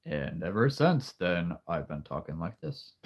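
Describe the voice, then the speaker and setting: A man hosting a live stream in a relaxed, chatty way, with a very deep, soothing storyteller voice and frequent throat clearing from allergies. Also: a little slow. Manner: soothing, calm storyteller delivery; rambling, with frequent restarts and soft laughs. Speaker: livestream host. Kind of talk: casual live-stream chat.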